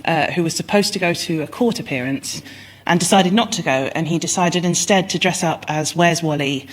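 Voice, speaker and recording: A woman's voice: low voice, moderate pace. A woman explaining things comically and anecdotally as a panellist on a radio comedy show. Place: radio show before live audience.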